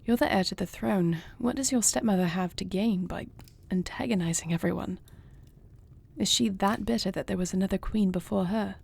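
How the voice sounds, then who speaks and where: A poised dragon queen voiced by a woman speaks softly and intimately to her listener in a fantasy audio roleplay. Her voice is warm and dark, with a breathy husk and touches of creak. She phrases things formally, with tenderness and dry wit. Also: medium pitch. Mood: curious, caring. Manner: formal, courtly phrasing, soft and affectionate. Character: gentle, poised dragon queen, newlywed, tender and dryly witty.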